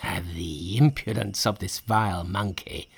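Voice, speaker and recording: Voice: sits low, measured pace, vocal fry, breathy edge, pitched below the performer's own voice, heavily acted. A narrator of unclear sex, voicing a pompous, menacing warrior spirit making imperious threats in a storybook tale. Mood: scornful. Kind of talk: dramatised storybook reading.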